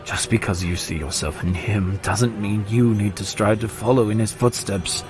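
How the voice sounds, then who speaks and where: Deep, earnest male confidant played by an actor. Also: fairly even intonation, normal speed, over music, close to an everyday voice. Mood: pleading. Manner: earnest, formal, pleading. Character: devoted, worried male confidant pleading with his princess. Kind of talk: audio drama acting.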